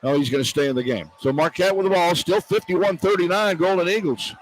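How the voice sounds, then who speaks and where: A man's voice: high voice, brisk, rough recording. An American man doing live radio basketball play-by-play in terse, clipped phrases. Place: courtside in a large indoor arena. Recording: radio broadcast with clear arena noise. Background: arena ambience and noise.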